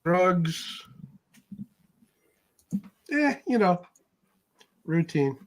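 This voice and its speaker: A middle-aged man co-hosting a casual livestream with offhand, wisecracking banter and a husky voice. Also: high voice, big pitch swings, extremely slow. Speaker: livestream co-host.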